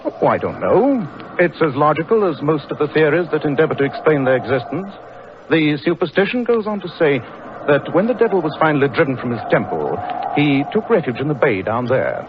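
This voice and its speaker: A commanding British gentleman detective in a 1940s radio drama. His voice is fairly high and swoops expressively with theatrical crispness, over a noisy, muffled old recording. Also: sing-song, a little fast. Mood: musing relish. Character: Sherlock Holmes, brilliant, commanding, coolly curious gentleman detective.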